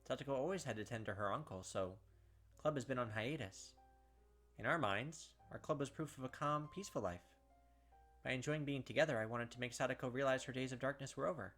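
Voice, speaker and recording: A man's voice: mid-range voice, fairly quick, fairly bright tone, soft background music. Young man reading game text aloud. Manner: casual, filler-heavy commentary. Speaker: gaming video host.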